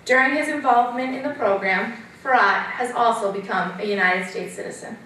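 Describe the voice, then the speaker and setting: A woman presenting at a university event, giving a clear, measured formal introduction of the next speaker. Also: on the high side, heavy reverb, distant mic, background noise. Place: university lounge.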